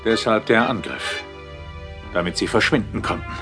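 Man acting a low-voiced, dry detective hero. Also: animated, moderate pace, over music, close to an everyday voice. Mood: matter-of-fact. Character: male detective, dry, flirtatious, unflappable investigator. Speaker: lead actor and first-person narrator. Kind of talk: German audio drama acting and narration.

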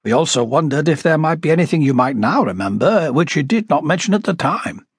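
Man playing a husky, formal male detective. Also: a little high, lively intonation, fairly quick, no room sound, clean studio sound, voice pushed up. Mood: courteous. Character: matter-of-fact, courteous male police detective. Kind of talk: audiobook character dialogue.

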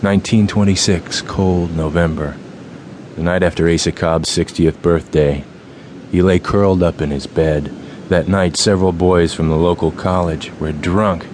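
An actor playing a solemn, ominous fraternity leader in a radio drama, with a slow theatrical and commanding delivery. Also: male voice, low voice, steady tone, a little mellow, slight background noise, lightly acted. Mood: ominous, hushed. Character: solemn, ominous fraternity leader telling ghost tales and giving orders. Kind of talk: dramatic radio play acting.

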